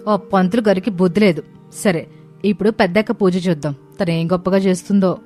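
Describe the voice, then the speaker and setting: A woman's voice: fairly low pitch, brisk, dark tone, faint music behind, lightly acted. A woman voicing a proud, rich young daughter-in-law in a family story, speaking emphatically. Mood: indignant. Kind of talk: scripted story dialogue.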